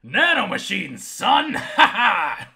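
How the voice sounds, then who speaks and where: A man voicing a campy, cartoonishly evil video game villain with theatrical, drawn-out gloating. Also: sits high, wildly swooping pitch, gravelly, sharp tone, pitched above the performer's own voice, exaggerated voice.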